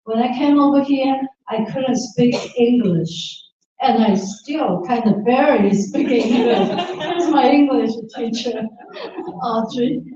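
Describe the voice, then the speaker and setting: A woman from Taiwan with a Taiwanese accent, asking a question at a public forum in halting, emphatic English. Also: on the high side, dark tone. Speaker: audience member asking a question. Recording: echoey hall, distant muffled mic.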